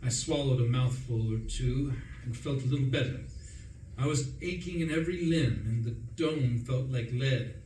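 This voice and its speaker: A man playing an excitable upper-class gentleman who narrates a radio play in a breezy, self-dramatizing way. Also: mid-range voice, close to an everyday voice. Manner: breezy, self-dramatizing, indignant when crossed. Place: live performance in a room, distant mic. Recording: roomy, distant, faint noise.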